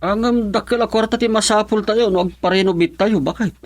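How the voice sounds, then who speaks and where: A man's voice: sits high, fairly quick, close to an everyday voice. An actor playing a mild, dutiful middle-aged husband and father in a radio drama, speaking with an Ilocano accent. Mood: agreeable.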